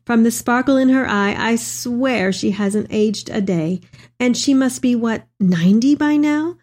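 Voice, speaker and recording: A woman playing an elderly, devout mother reading her tender letter aloud in an expressive, affectionate dramatic reading. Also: a little high, unhurried, natural voice. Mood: fond, joyful.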